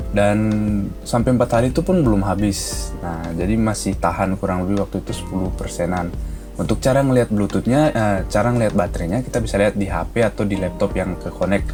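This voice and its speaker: Low-pitched Indonesian man over clear background music. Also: quick pace. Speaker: product reviewer. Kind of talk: casual gadget review talking to viewers.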